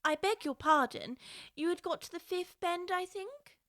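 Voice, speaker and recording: A woman's voice: very high-pitched, normal speed, a bit bright. A woman playing a curious, polite, naive little girl in a full-cast audiobook, with an earnest manner. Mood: apologetic, pleading.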